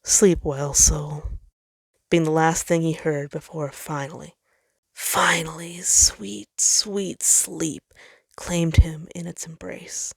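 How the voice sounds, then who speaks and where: A woman's voice: low voice, creaky, no room sound. A woman reading a story aloud as narrator, in a dramatic scripted style. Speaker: fan fiction narrator.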